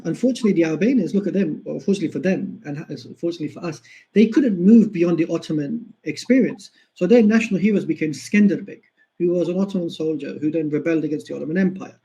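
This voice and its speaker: Guy lecturing on history, warm mellow voice, a bit high, repeats himself for emphasis. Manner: earnest, emphatic repetition. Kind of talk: explanatory lecture.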